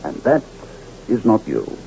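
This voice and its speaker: A man's voice: fairly low pitch, wildly swooping pitch, measured pace, vocal fry, dark tone, full character voice. An actor playing a sly, genial older carnival showman in a radio drama, with theatrical, courtly, florid diction. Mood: kindly, scheming. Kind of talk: old-time radio drama acting. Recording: muffled, noisy vintage radio broadcast.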